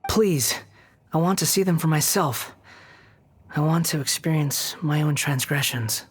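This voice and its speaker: Brooding, solemn young puppet. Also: male voice, on the high side, a little slow, slightly rough. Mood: remorseful, pleading. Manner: grave, earnest, subdued. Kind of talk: video game voice acting.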